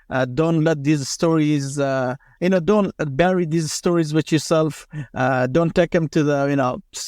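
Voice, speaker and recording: A man's voice: on the high side, darkish tone, clear, resonant tone, no echo, clean studio sound. A man in his thirties from Afghanistan, speaking English as an in a casual interview, with many fillers and restarts. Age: 30s. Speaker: guest, former special forces commander.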